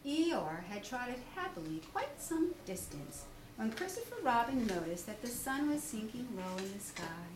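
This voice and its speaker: A woman reads a children's story aloud in an animated, teacherly way. Her pitch is high and swoops widely. The recording is roomy, with faint noise behind her. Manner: pauses to question young listeners. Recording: roomy, distant mic, faint background noise.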